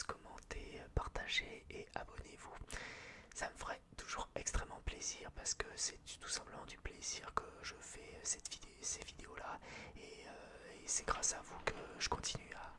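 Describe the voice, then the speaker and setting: A person with a French accent whispering in a breathy, unvoiced voice, chatting casually and haltingly in a rambling, self-deprecating relaxation vlog. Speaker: video creator reviewing film purchases.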